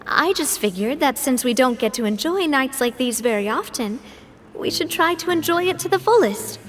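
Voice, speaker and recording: A woman's voice: high-pitched, expressive, moderate pace, slight background noise. An actress playing a confident, playful young woman who leads a local group, teasing and laughing often, in a game cutscene. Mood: gentle. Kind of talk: game voice acting.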